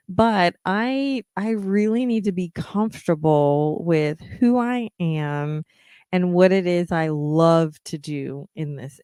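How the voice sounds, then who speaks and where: Slow, earnest woman podcaster. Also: on the low side, clean tone, no room sound, studio quality. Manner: earnest, encouraging, many ums. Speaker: podcast host, singer. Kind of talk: reflective solo podcast talk.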